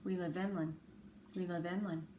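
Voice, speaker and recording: A woman narrating a children's story aloud in a measured, clearly phrased way. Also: lowish voice, fairly even intonation, dark tone. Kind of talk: children's audiobook-style storytelling. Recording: roomy echo with faint background noise.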